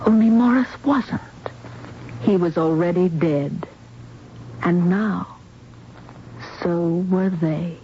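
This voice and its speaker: An actress playing an earnest, compassionate young heroine in a 1970s radio drama. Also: female voice, on the low side, slow, long pauses, a little hiss or hum. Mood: somber, narrating. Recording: muffled old radio recording with music.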